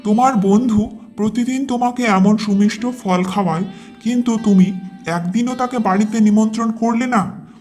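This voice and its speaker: Storybook crocodile husband, female performer: slow-witted and reluctant, solemnly emphatic, lowered mid-range voice over music. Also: darkish tone, lightly acted. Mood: glum, reproachful. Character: foolish, reluctant male crocodile, henpecked friend of monkey. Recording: music behind the voice.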